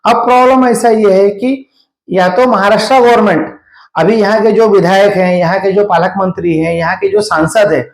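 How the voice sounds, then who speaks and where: High-voiced man with Mumbai Hindi accent. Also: fairly even intonation, moderate pace, vocal fry. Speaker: interviewee commenting on local civic affairs. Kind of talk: spoken news interview, explanatory commentary. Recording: echoey room sound.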